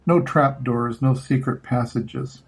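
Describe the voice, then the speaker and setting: Older man reading as plain-spoken little girl, husky. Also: medium pitch, slow pace. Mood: plain, explaining. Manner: simple, direct, childlike phrasing. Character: young girl neighbour, plain-spoken, asking for help.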